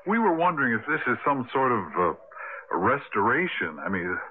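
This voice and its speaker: An actor plays a practical young husband in a radio drama, polite with a touch of grumble. His delivery is animated and varied. The recording is narrow and noisy, like an old broadcast. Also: mid-range voice, normal speed, close to an everyday voice. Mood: polite, hesitant.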